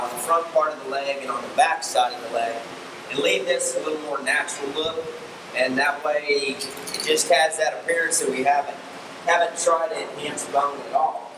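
A middle-aged man, a show judge explaining how he grooms a goat for show in a relaxed way, with frequent ums and restarts. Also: mid-range voice. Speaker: livestock fitting instructor and show judge. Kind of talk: demonstration tutorial. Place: large indoor barn arena. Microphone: far camera mic. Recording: echoey barn from far off with air conditioning hum.

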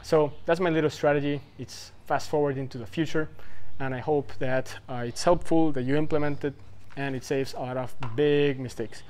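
A man's voice: on the high side, fairly even intonation, normal speed, few pauses, darkish tone, clear, resonant tone. A man in his twenties giving advice in casual talk to the camera, rambling and loose, full of fillers and asides. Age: 20s. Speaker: vlogger giving life advice.

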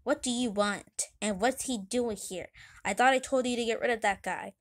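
A woman's voice: on the high side, normal speed, close to an everyday voice. A woman voicing a vain, irritable, bossy town mayor who barks orders indignantly in a children's comic story. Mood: furious. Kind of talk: children's comic read aloud.

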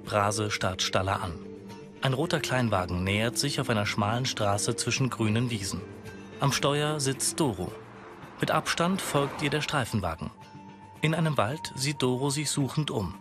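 A man's voice: mid-range voice, lively intonation, normal speed, music in the background. A man reading neutral scripted audio description of scenes as a narrator.

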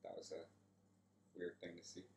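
A young man telling anecdotes about flatmates in a documentary interview, seated on a sofa. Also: moderate pace, slight background noise. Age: young adult. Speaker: student interviewee. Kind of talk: anecdotal storytelling. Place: room with a sofa. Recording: echoey room.

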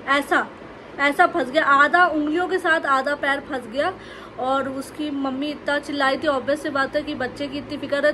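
High-voiced young woman vlogging at home. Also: slight background noise, rough recording. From India. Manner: animated storytelling to the camera, with lively gestures. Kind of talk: casual chatty vlog narration. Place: bedroom at home, indoors. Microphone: phone held close.

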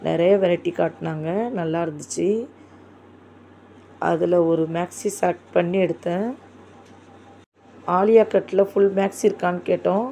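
A woman's voice: fairly low pitch, flat delivery, normal speed, long pauses, dark tone, a little hiss or hum. A woman casually narrating her shopping trip in a chatty, enthusiastic way. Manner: chatty, enthusiastic, repeats "super super". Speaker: vlogger.

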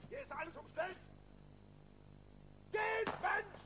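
An actor playing a commanding policeman who shouts clipped orders in a radio crime drama. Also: extremely high pitch, takes it slowly, a crowd in the background, exaggerated voice. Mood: urgent, commanding.

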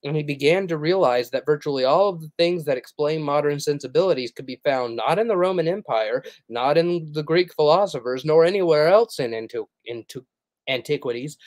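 A man's voice: on the high side, brisk, a little mellow, dry recording. Earnest man teaching in a dry room. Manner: earnest, explanatory, frequent "uh" fillers. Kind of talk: livestream teaching, reading quotes aloud.

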